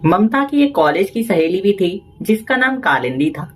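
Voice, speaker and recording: Medium-pitched woman narrating a story. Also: big pitch swings, moderate pace, slightly rough, soft background music.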